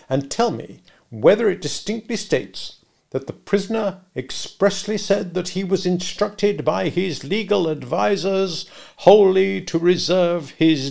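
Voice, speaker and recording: Storybook bully played by a man: sarcastic gentleman cross-examiner, high-pitched, forceful and domineering in delivery. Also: lively intonation, slow pace, gravelly, exaggerated voice. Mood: sarcastic, insistent. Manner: interrogative, sarcastic, emphatic, domineering. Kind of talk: dramatic audiobook character reading.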